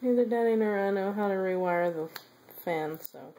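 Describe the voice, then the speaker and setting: A woman's voice: medium pitch, normal speed, dark tone, slight background noise. Woman patiently explaining at home. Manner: patient, explaining, laughs often. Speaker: mother and vlogger. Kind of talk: casual family chat. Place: home room with an ant farm. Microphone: phone camera.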